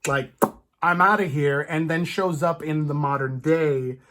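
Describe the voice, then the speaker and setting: A podcast host chatting casually as a fan, in an animated, rambling, stop-start way with playful asides. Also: medium pitch, a little slow. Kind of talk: casual comic book fan chat.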